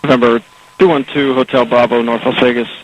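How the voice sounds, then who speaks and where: A man working as an air traffic controller, giving procedural information in a halting, matter-of-fact way over radio. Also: medium pitch, little pitch movement, breathy edge, low-quality recording. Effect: aviation radio and landline. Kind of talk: radio phraseology, procedural position briefing.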